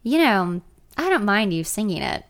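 A woman's voice: mid-range voice, very animated, moderate pace, fairly bright tone. A woman voicing a smug, condescending male country musician in a story, taunting in manner.

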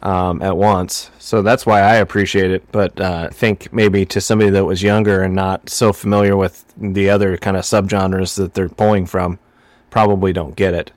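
A male podcast co-host with a low voice and a slightly phlegmy sound, recorded very dry. He chats casually, feeling his way through explanations with thoughtful pauses. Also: little pitch movement, dry recording.

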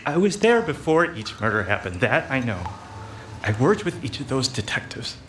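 A man's voice: mid-range voice, sing-song, normal speed, fairly bright tone. A man acting a put-upon detective's sidekick who turns coolly menacing, with a theatrical, sardonic delivery, in a hard-boiled comic audio drama. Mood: frightened, defensive. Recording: clear background noise with clicks.

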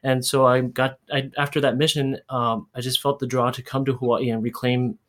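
A man's voice: mid-range voice, flat delivery, moderate pace, a bit bright. Young man talking with hesitant fillers. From Orange County, California. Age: young adult. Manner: hesitant, many fillers and restarts. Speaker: podcast guest. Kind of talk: casual chat. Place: room in Hawaii. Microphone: shared earbud with the host.

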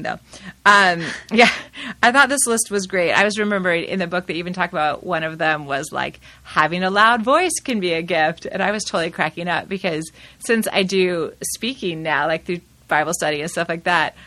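A woman hosting a casual studio discussion, talking loudly and animatedly and laughing often. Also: on the low side, no room sound. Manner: loud, animated, quick to laugh. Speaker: host. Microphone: studio microphone.

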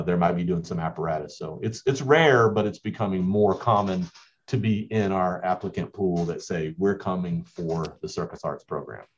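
Low-voiced man rambling through answers. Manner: rambling, many restarts. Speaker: basketball coach at a school. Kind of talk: interview answers. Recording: dull lo-fi audio.

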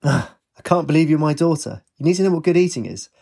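Man playing middle-aged father, story character, boisterous, forthright, teasing, raised pitch, raspy edge. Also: expressive, fast talker, mellow, exaggerated voice. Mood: indignant. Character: boisterous, protective, middle-aged restaurateur dad, big-hearted flirt.

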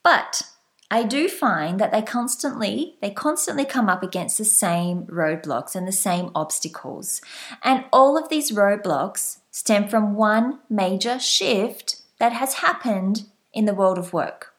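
A woman's voice: medium pitch, animated, measured pace. An Australian woman with a mid-range voice and a light accent hosts a solo podcast. She speaks earnestly and with motivation, addressing the listener directly as a leadership coach.

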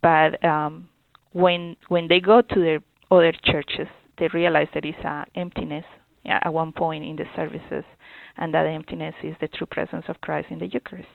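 Earnest woman from Colombia, gravelly voice. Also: deep voice, flat delivery, dry recording. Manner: earnest, halting, with many fillers and restarts. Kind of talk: interview answers. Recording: dry, phone-like narrow sound.

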